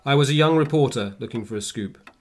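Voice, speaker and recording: Male actor as old-time reporter narrator, measured storytelling, formal, solemn, radio drama. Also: mid-range voice, a little hiss or hum, natural voice. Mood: measured, reflective. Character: male former reporter recounting a true Victorian adventure. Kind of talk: scripted radio play narration.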